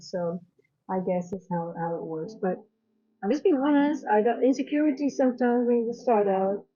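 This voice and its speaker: A woman's voice: mid-range voice, mellow, highs cut off. A woman from the Netherlands with a light Dutch accent, chatting frankly on her own live trading stream, rambling with many fillers and restarts. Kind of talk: casual live-stream chat. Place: home in South Africa.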